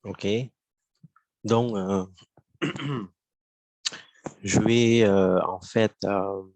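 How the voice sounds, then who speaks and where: A man's voice: fairly low pitch, steady tone, very slow, darkish tone, dry recording. A man teaching a French class, talking hesitantly with many fillers while setting up an exercise. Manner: hesitant, full of euh. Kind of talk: classroom instruction.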